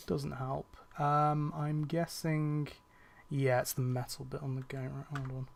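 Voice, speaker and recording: A man's voice: mid-range voice, little pitch movement, slow pace, gravelly, slight background noise. A young man streaming a cleaning game, chatting casually with his viewers, rambling with fillers and restarts and sounding a little tired. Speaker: gaming streamer. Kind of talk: casual chat while playing a game on a live stream.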